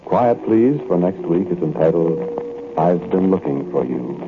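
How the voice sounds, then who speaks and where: Deep-voiced man signing off a radio drama. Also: expressive, measured pace, background noise. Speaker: radio drama host and narrator. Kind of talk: 1940s radio sign-off. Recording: tinny vintage radio broadcast.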